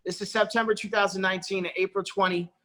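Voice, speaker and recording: Persuasive man pitching on a video call. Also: level tone, quick pace, pushed and bright. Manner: persuasive, repeats "folks" and "okay". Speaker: presenter on a corporate call.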